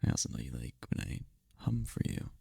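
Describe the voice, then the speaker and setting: A man playing a tender, teasing incubus demon boyfriend in an intimate ASMR roleplay, speaking in a breathy, soothing, soft-spoken way. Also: dry recording, studio quality, heavily acted. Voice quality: breathy, gravelly low voice.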